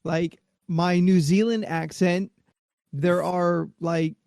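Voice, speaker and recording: A man's voice: high-pitched, steady tone, slow pace, gravelly, darkish tone, no room sound, clean studio sound. A man co-hosting a podcast, talking in a rambling way with lots of fillers. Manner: rambling, hesitant, filler-heavy. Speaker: podcast co-host. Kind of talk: casual chat on a live stream.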